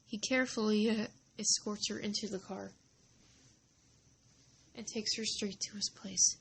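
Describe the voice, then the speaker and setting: A woman storyteller narrates slowly in a creaky voice. She is informal and deliberate, halting often for dramatic pauses, with faint ambient noise behind her. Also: medium pitch, fairly even intonation, poor audio.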